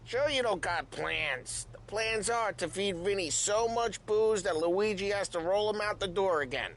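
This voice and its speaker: A teasing, breezy gangster. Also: male voice, high voice, measured pace, fairly bright tone, a little hiss or hum. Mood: easygoing. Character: wisecracking male gangster, easygoing and teasing.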